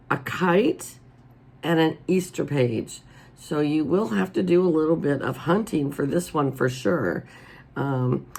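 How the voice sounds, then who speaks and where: A raspy-voiced middle-aged woman hosting a casual show-and-tell, chatty and homey, filmed at her table at home. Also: deep voice, a little mellow. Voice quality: raspy today. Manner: chatty and homey, many ums. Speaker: coloring channel host. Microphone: overhead camera over the table.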